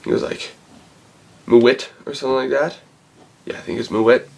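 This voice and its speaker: A man's voice: medium pitch, fairly even intonation, vocal fry, low-quality recording. Rambling man with a cold reviewing films. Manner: rambling, halting, searching for words. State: has a cold. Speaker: movie reviewer and channel host. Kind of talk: casual talk-to-camera film review.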